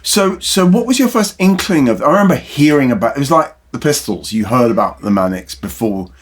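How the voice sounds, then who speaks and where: A man's voice: mid-range voice, lively intonation, fairly quick, breathy edge. A man hosting a podcast interview, asking long, rambling questions full of restarts. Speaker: podcast host.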